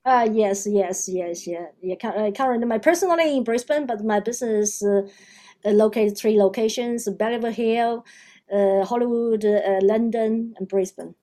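A woman with a Chinese accent, a non-native English speaker, answering interview questions haltingly with many fillers and restarts. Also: a little high, warm tone. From China. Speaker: guest.

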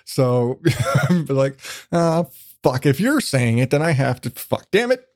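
A man's voice: mid-range voice, big pitch swings, measured pace, dry recording, studio quality. A man hosting a podcast, chatting in a casual, rambling way with jokey, self-deprecating, profane remarks. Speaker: podcast host. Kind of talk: casual, rambling interview chat.

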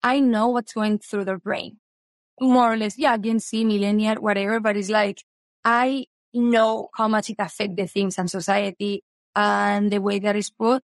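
A woman's voice: mid-range voice, level tone, moderate pace, no echo. A woman in her thirties from Spain with a Spanish accent, eagerly talking about her book in a casual interview. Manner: earnest, eager, with non-native phrasing. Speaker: guest author.

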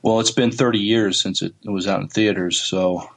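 Halting man with a lowish voice. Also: fairly even intonation, fairly bright tone. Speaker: podcast co-host. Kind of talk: casual podcast chat with read-out news items.

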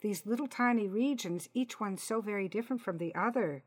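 A woman's voice: medium pitch, a little fast. A middle-aged woman from Canada hosting a casual interview, chatty and agreeable, laughing easily. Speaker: host.

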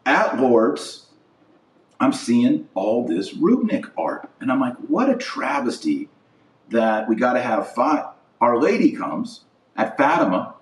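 A man hosting an informal opinion podcast, speaking in a blunt, indignant way and repeating phrases for emphasis. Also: mid-range voice. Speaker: podcast host. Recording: roomy echo, distant from the mic.

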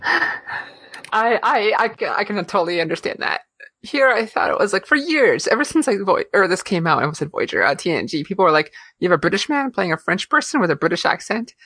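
A woman's voice: mid-range voice, big pitch swings, brisk, a bit bright, breathy edge, no room sound. A woman co-hosting a podcast, chatting casually, with frequent laughs, fillers and restarts. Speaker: podcast co-host. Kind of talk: casual chat.

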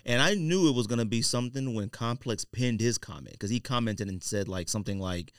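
A man's voice: medium pitch, fairly quick, clear, resonant tone, dry recording, clean studio sound. A man with an American accent chatting casually as a podcast host, rambling and slangy. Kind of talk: casual podcast banter about sneakers.

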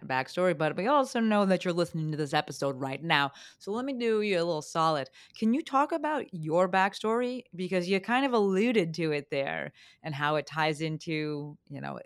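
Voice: mid-range voice, clear, resonant tone. A podcast host asking questions in an upbeat, affirming way. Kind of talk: casual interview talk.

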